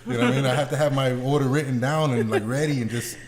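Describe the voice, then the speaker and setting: A man's voice: medium pitch, steady tone, very fast, crowd noise. A man hosting a podcast in casual, informal chat, with slangy talk and some swearing. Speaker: podcast host.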